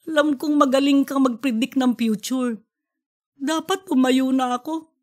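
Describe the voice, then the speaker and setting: A woman's voice: high voice, steady tone, a little slow, darkish tone. A woman voicing a distraught, self-blaming woman professor in an audiobook story. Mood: upset, self-blaming. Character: distraught, guilt-ridden woman professor drinking in a bar.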